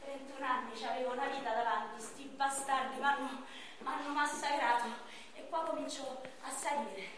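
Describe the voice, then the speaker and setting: Echoey, high-pitched battered young Roman, acted by a woman. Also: steady tone, lightly acted. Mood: bitter, brooding. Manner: repetitive, halting, coarse-mouthed. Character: battered frail 31-year-old Roman victim, raging and wounded. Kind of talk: theatrical monologue in dialect. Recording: echoey stage, distant mic, background noise.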